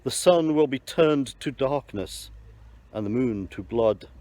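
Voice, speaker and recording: Man reading Peter, earnest proclaiming, slow, dry close sound. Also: medium pitch, animated, a little mellow, no room sound, close to an everyday voice. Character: apostle Peter, adult man addressing a crowd. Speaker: Bible reader. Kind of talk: scripture reading.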